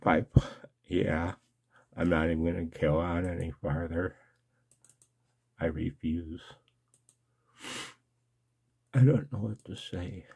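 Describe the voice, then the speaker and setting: An older man speaks in a very deep voice with heavy fry. He takes his time, talking slowly and haltingly, as in an intimate, emotional personal monologue to his viewers. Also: steady tone, darkish tone. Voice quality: gravelly, husky. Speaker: amateur radio video blogger. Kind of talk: personal screen-share vlog, casual monologue.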